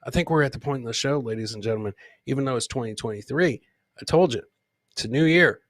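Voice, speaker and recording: A man hosting a comedy podcast, bantering casually in a rowdy, rambling way with shouted bursts. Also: medium pitch, fast, no echo. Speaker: host of a live comedy podcast. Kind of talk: boisterous casual live banter with listeners.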